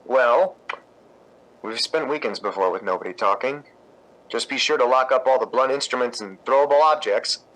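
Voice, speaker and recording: A man's voice: mid-range voice, normal speed, a bit bright, lightly acted, poor audio. A man playing a witty, exasperated playwright with a sardonic, articulate delivery in a radio drama. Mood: annoyed, wry. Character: exasperated, witty male playwright, cutting and proud. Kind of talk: radio drama acting in 1950s style.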